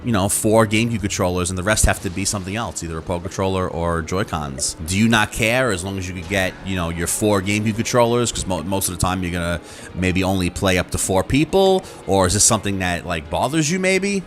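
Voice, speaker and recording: A man's voice: sits low, a little fast, faint music behind. A man talking casually and conversationally to his viewers as a video host, rambling and acting out imagined reactions. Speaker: YouTube host.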